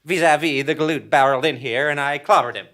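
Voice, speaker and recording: An actor plays a slangy henchman to a villain boss. The talk is cocky old-time gangster patter at a middling pitch, in the style of live comedic audio drama. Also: fast, fairly bright tone, natural voice.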